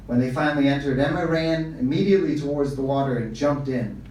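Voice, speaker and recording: A man giving a live reading of a short story at a bar event, in a measured, even storytelling manner. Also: mid-range voice. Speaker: story reader. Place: bar event room, indoors. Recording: echoey room from far off, faint noise.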